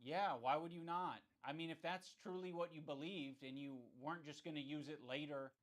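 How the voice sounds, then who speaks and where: A man's voice: on the high side, normal speed. A man co-hosting a podcast, speaking in a pointed, sarcastic way. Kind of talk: podcast chat.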